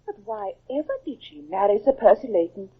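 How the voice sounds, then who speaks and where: An actress playing a devoted, fretful captain's wife with a gentle, fussing manner in an old radio drama. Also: female voice, medium pitch, animated, a little fast, husky. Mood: gossipy, musing. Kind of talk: 1950s radio drama acting. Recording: dull old radio recording.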